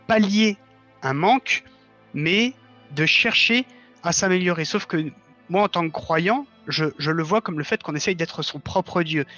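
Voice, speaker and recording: A man's voice: fairly high pitch, sharp tone, lo-fi sound. A man speaking as a guest in a conversational interview, explaining his views earnestly. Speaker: interview guest, audio fiction writer.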